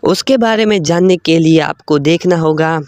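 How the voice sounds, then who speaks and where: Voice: medium pitch, moderate pace, darkish tone. A storyteller reading a scripted moral story as narrator.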